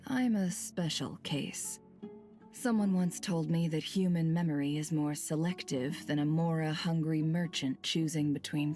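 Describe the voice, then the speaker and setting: A woman voicing a shrewd, cool woman boss in a video game, measured and sardonic. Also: low-pitched, dark tone. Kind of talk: scripted video game voice acting.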